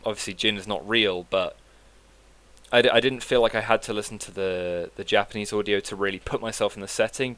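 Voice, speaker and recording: A man with a lowish voice, relaxed and opinionated as he co-hosts a casual podcast. He lets the odd swear word slip, and his voice sits close and dry with no room sound. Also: dry recording. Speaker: podcast co-host. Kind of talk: casual chat.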